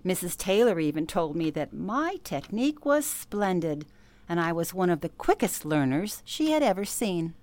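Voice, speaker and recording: A woman's voice: on the low side, big pitch swings, moderate pace, a little mellow. An actress playing an older former switchboard operator who recalls her youth with emphasis, in a radio play interview. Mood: proud recollection.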